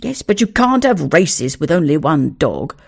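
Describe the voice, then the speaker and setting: Brief: reader putting on a cheeky schoolboy ringleader, earnest and sly, lively pitch, slight gravel. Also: measured pace, full character voice. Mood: scheming friendly. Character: bold scheming schoolboy.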